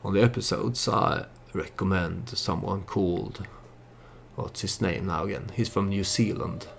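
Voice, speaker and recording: A man giving casual storytelling commentary over a strategy game, hesitant and halting as he thinks aloud. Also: deep voice, vocal fry, slight background noise. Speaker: let's play YouTuber narrating his strategy game.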